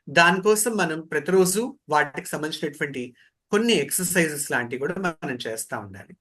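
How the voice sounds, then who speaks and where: A man's voice: fairly high pitch, a bit bright. A man giving an interactive training lecture in a didactic way, posing questions and repeating key phrases. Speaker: voice modulation trainer.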